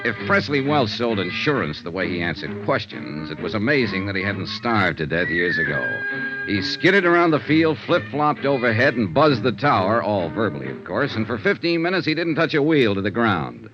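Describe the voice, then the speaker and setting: An actor with an American accent playing a confident, wry male insurance investigator and narrator in a 1950s radio drama, crisp and teasing. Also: fairly low pitch, sing-song, normal speed, music in the background. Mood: brisk narrating. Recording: dull 1950s radio recording.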